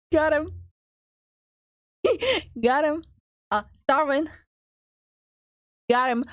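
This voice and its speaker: High-voiced, unhurried woman streamer. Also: no room sound, clean studio sound. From Minnesota. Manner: playful, teasing, swears freely. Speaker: streamer co-host. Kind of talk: casual stream banter.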